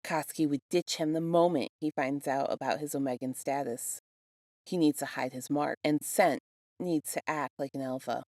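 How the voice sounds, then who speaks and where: A woman narrates fan fiction in a low register. The sound is dry, with very little room around the voice. She reads from a script as a storyteller. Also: normal speed, darkish tone, no echo. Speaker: podfic reader.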